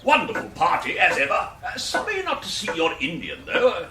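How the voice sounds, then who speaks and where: A bluff, gallant older English colonel in radio comedy. His upper-class voice is high and animated, clipped and courteous, heard from a distance through heavy noise. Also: normal speed. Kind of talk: radio comedy drama acting. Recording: distant, echoey, noisy.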